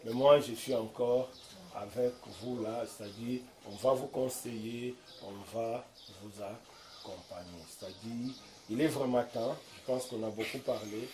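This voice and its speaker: A man preaching informally in a home, emphatic and swinging from loud declarations to soft asides. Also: medium pitch, measured pace, slight background noise. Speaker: visiting preacher. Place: room in a private home. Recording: roomy echo.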